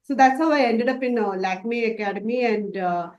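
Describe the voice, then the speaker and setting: Woman from Dubai, high voice, halting, many fillers, roomy muffled call audio. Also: a little mellow. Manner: hesitant, many fillers and restarts. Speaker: makeup artist student. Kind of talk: interview answers.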